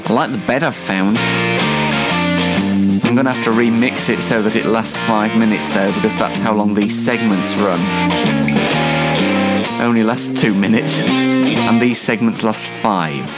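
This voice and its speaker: A man's voice: on the low side, measured pace, pauses often. A man hosting a casual solo radio-style show, rambling along in a whimsical, self-deprecating way. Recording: music bed behind the voice. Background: loud plucked guitar music bed.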